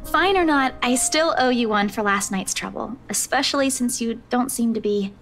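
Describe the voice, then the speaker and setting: A woman's voice: on the high side, moderate pace, a bit bright, soft background music. An actress playing a polite, earnest young woman in a visual novel, considerate toward her friend. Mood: concerned.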